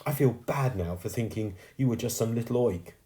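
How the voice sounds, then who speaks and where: A man voicing an earnest, soft-hearted thirteen-year-old girl, well-spoken and sincere, in a storybook reading. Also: mid-range voice, moderate pace, voice pushed up, lightly acted. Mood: friendly, apologetic.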